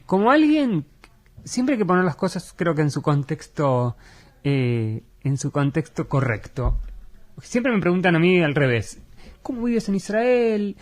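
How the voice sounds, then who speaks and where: A man with an Argentine Spanish accent, an educator answering in a radio interview, earnest and measured with frequent fillers. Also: on the high side, big pitch swings.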